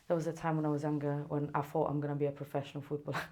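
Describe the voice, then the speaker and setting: A woman's voice: sits low, rapid-fire. A young woman from Poland with a Polish accent, chatting casually as an interview guest in a studio and often laughing as she trails off. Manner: relaxed, laughs often, trails off mid-sentence. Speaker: Muay Thai fighter, guest.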